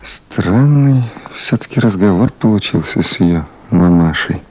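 A man's voice: sits low, expressive, measured pace, mellow, slight background noise. An actor playing a weary man on long night duty, musing to himself in short phrases in a 1970s Soviet radio play.

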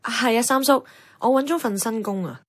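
A woman's voice: on the high side, fairly quick, no room sound, studio quality, close to an everyday voice. A woman acting a wistful, earnest young Hong Kong woman in a Cantonese radio drama. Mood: earnest, doubting. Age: young adult. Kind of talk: radio drama acting with reflective first-person narration.

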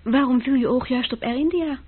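A woman's voice: sits high, brisk, husky. An actress playing a curious young woman in a radio play, speaking expressively and questioningly. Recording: thin, phone-like old radio recording.

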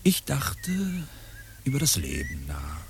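An actor playing a grandiose, world-weary uncle in a German radio play, declamatory and easily exasperated. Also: male voice, rumbling low, wildly swooping pitch, takes it slowly, noisy background, full character voice. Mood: pompous, musing. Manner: declamatory, dramatically drawn out when musing.

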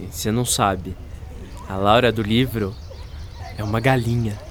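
Guy doing chatty brazilian schoolboy, playful, excitable, radio drama, faint outdoor noise behind. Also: lowish voice, unhurried, lightly acted. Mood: teasing. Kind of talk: scripted radio drama acting.

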